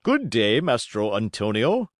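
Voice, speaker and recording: A man voicing a dapper, hot-tempered, excitable old man in a storybook reading. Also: on the low side, measured pace, dry recording, studio quality. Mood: eager, chipper.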